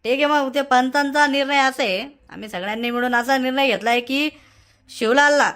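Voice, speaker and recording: Woman as a bossy high-voiced village council leader. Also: fairly quick, fairly bright tone. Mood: commanding. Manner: insistent, commanding. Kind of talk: cartoon comedy dialogue.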